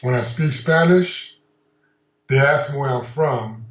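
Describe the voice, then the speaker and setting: A man from Honduras speaking in a documentary interview, measured and reflective, pausing with ums. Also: medium pitch. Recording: roomy sound from a distant mic.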